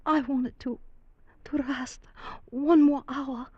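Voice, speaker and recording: A woman playing a gentle, sorrowful young Japanese islander, breathy, speaking halting broken English in 1940s radio style. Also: sits high, steady tone, no room sound. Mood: sorrowful, tender. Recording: dull old-time radio recording.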